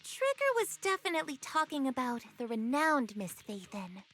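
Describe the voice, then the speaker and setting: A woman playing an eager young soldier in a game, forthright and earnest, with a crisp way of talking. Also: high voice, sing-song, normal speed, a bit bright, soft background music. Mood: frank, earnest. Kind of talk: dramatic game dialogue.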